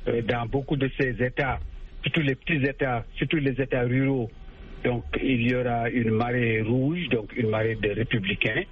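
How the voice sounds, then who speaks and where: A man's voice: mid-range voice, steady tone, normal speed, a little hiss or hum. Hesitant man explaining, dull lo-fi sound. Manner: explanatory, hesitant, many fillers and restarts. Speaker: political analyst guest. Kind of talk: explanatory radio commentary.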